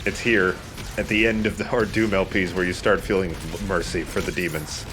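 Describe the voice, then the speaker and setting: A man hosting a let's play, giving casual, expressive commentary as he plays a shooter. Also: low voice, normal speed, music in the background. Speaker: let's play host, playing a shooter game. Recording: noticeable background noise.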